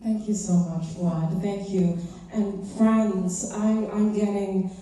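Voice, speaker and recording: A woman moderating an audience Q&A in a theater, with brisk hosting. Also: mid-range voice, fairly even intonation, warm tone, recorded from a distance, a little hiss or hum. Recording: echoey theater heard from afar.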